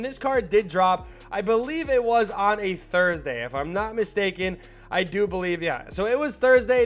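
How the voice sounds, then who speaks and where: A young man with a slightly stuffed-up voice giving casual, slangy video game commentary. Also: high voice. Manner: hyped, slangy and rambling, with filler words. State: still a little stuffed up from a cold. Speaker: gaming YouTuber.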